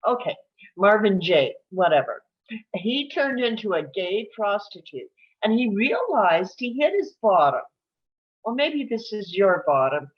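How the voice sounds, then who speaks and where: A woman's voice: medium pitch, sing-song, a little slow, dull audio. A woman playing a chatty, blunt older divorced mother in a comedy table read, breezy and melodramatic. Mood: breezy, enthusiastic.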